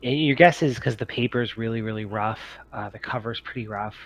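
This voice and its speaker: A man's voice: fairly low pitch, a little fast. A man running a tabletop game, explaining things casually with easygoing, hesitant phrasing over some background noise. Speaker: game master. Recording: faint background room noise.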